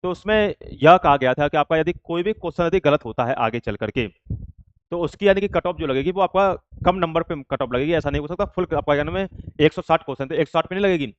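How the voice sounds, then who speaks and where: A man's voice: a little high, quick pace, no room sound, dull audio. A man teaching exam students in an informal way, explaining step by step with repeated examples.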